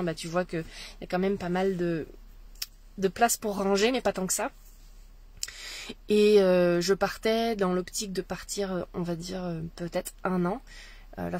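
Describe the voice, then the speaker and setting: A woman's voice: on the low side, a little mellow. A woman from France, a spiritual coach, talking casually to her listener in a hesitant way, with many fillers and restarts. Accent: French. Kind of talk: casual spiritual monologue to camera.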